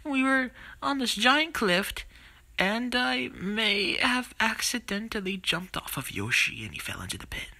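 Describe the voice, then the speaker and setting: Performer doing a defensive cartoon plumber hero, wildly swooping delivery, bright tone, stammering, comedic game parody. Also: mid-range voice, sing-song, measured pace, full character voice. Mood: flustered, apologetic.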